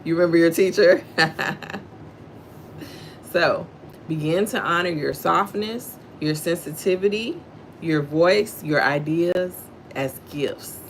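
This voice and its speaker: Woman, middle-aged, Texan accent, deep voice, gravelly, low and slow delivery, livestream host reading and chatting. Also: a little hiss or hum. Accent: Southern US, Texan. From Houston, Texas, USA. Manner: talks low and slow, greets viewers by name. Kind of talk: devotional reading and casual chat with live viewers.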